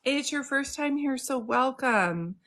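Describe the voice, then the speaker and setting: A middle-aged woman psychic medium hosting a casual live stream, chatting warmly with viewers, greeting them by name and reading out their comments. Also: sits high, expressive, takes it slowly. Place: home in Santa Cruz.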